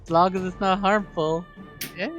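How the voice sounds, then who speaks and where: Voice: mid-range voice, steady tone, moderate pace, fairly bright tone, lo-fi sound, soft background music. A player in a tabletop game, talking casually and asking about the rules. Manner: casual, asks rules questions.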